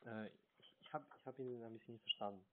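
A man with a foreign accent, a non-native speaker, giving a halting, hesitant telephone sales pitch. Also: steady tone. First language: not German. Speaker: telesales call centre agent. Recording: narrow, tinny phone line.

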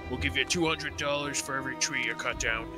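A man acts a brusque recruiter of loggers in a game roleplay. He is matter-of-fact and curt at a medium pitch, with music clearly playing behind. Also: fairly even intonation, fast, natural voice. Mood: businesslike. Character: brusque, businesslike male logging recruiter. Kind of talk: improvised online game roleplay.

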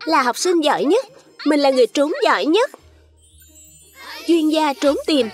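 A woman's voice: sits high, animated, a little slow, soft background music. A woman voicing a vain, stubborn little strawberry pupil in a children's cartoon dub, emphatic and self-important. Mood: boastful.

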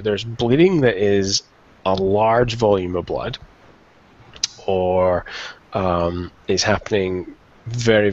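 Gravelly man presenting a webinar. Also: on the low side, fairly bright tone. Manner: chatty and informal, many ums and restarts. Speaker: veterinarian presenter. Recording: dull lo-fi webinar audio.

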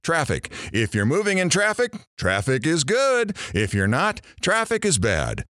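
A man's voice: a little high, wildly swooping pitch, a little slow, fairly bright tone, dry recording, clean studio sound, full character voice. A man playing a brash, wisecracking morning radio host in an audio drama, with sarcastic, theatrical delivery. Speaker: radio talk show host.

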